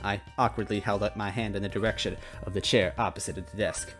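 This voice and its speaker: A man's voice: on the low side, little pitch movement, a little fast, a bit bright, soft background music. A man hosting a semi-dramatic reading of a noir detective story, stumbling over lines now and then. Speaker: story reader and host. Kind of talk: semi-dramatic noir narration with casual asides.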